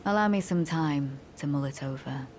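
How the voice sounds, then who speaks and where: An actress playing a solemn, devout female knight in a video game, with measured, formal, archaic phrasing and a courteous but coldly zealous manner. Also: low voice, background noise, close to an everyday voice. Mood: pensive. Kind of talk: scripted video game dialogue.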